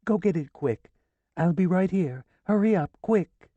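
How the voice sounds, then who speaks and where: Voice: expressive, measured pace, mellow, dry recording, dull audio. A reader voicing a frantic, grieving older woman who pleads and nearly screams, in an audiobook. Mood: urgent. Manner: shrill, pleading, near screaming. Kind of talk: audiobook character voice.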